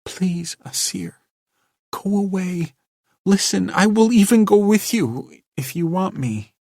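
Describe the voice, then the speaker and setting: A man's voice: a little high, animated, measured pace, a little mellow, breathy edge, no room sound, clean studio sound, pitched above the performer's own voice, exaggerated voice. A man voicing a proud, scheming young woman who snaps and hisses at her lover. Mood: sharp. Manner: hissing, snapping, then pleading.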